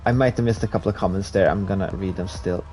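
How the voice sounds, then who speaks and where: Man from Sweden, non-native English, chatty gaming streamer, warm dark tone, faint music behind. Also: deep voice, fairly even intonation, fast talker. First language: Swedish. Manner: chatty, laughs at himself, reads chat aloud. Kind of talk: casual live-stream chat while gaming.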